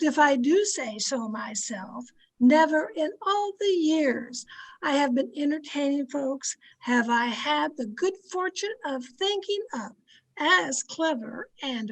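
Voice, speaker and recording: Casting: actress for a bossy, gushing society matron in a radio play, high-pitched, unhurried, grandly affected. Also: female voice, exaggerated voice, poor audio. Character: gushing, self-satisfied society hostess, bossy wife. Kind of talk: old-time radio acting.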